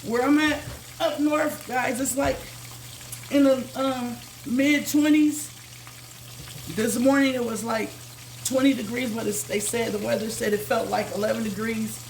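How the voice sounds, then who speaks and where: A woman hosting a casual home cooking vlog, chatty and repetitive, her voice slightly hoarse from a recent illness. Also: a little high, steady tone, unhurried, a little hiss or hum, rough recording. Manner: chatty, says "guys" constantly, repeats herself. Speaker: cooking channel host. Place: home kitchen. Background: food sizzling in a pan.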